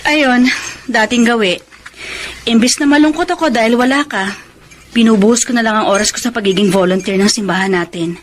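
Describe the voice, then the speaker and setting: A woman's voice: fairly high pitch, a little fast, slight background noise, natural voice. A woman playing a long-suffering, resentful middle-aged wife and mother in a radio drama. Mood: subdued.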